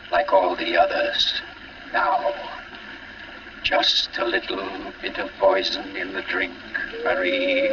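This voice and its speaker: Muffled noisy old radio recording, suave sinister author, slow and theatrical, savors every word. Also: male voice, low voice, far from the mic, full character voice. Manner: urbane, theatrical, savoring his words. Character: suave, sinister male author with a taste for poisoners. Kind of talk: 1940s radio suspense acting.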